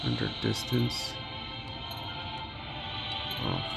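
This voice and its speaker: A man's voice: medium pitch, huge pitch swings, extremely slow, creaky, loud music behind the voice. A man giving casual, off-the-cuff commentary as he tests gaming gear, muttering to himself as he works. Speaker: gaming handheld reviewer.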